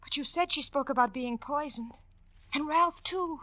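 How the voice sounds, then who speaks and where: An actress playing an anxious young femme fatale in a 1940s radio drama, breathless, pleading and melodramatic. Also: female voice, high voice, moderate pace, bright, forceful tone, no echo, low-quality recording. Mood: nervous confiding.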